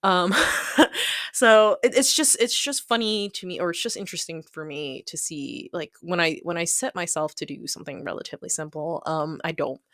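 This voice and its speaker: Lowish voiced woman rambling casually about her hobby, giggly n self-deprecating, close dry sound. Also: moderate pace, fairly bright tone, dry recording. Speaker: hobby planner video creator. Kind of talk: casual rambling chat over a craft video.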